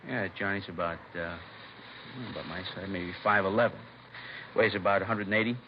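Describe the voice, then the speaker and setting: Low-voiced, folksy, rambling shopkeeper. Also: male voice, flat delivery, a little fast. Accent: American. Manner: hesitant, rambling, mumbling to himself. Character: chatty, folksy, helpful male laundry shopkeeper. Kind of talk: 1950s radio drama acting. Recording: noisy, muffled vintage radio recording.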